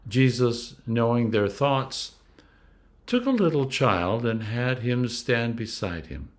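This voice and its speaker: Expressive man reading scripture aloud. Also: medium pitch, slow pace. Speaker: Anglican clergyman reading scripture.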